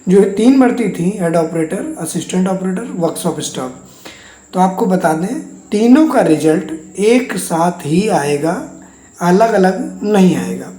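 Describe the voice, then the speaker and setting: A host explains updates to exam candidates in a soft, dark-toned voice. The delivery is reassuring and circles back over the same points. The room adds a clear echo. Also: mid-range voice, expressive, measured pace. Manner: repetitive, reassuring. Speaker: host of an exam news channel. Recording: echoey untreated room.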